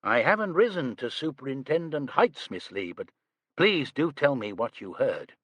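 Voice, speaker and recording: A man as a polite, measured police superintendent. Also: medium pitch, big pitch swings, no echo, voice pushed up. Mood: courteous. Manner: courteous, measured.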